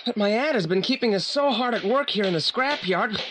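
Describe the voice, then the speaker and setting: A man's voice: sits high, lively intonation, fairly quick. A precocious boy detective in an old audio play. He speaks formally and pedantically with complete self-assurance, over a faint layer of noise. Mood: self-assured. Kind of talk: 1980s audio drama acting. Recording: slightly noisy old audio play transfer.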